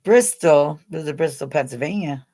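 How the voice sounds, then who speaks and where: A woman's voice: low voice, normal speed, dark tone. Middle-aged American woman, chatty livestream host, rambling and giggly. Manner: rambling, chatty, laughs often, talks straight to viewers by name. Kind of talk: casual live chat with viewers.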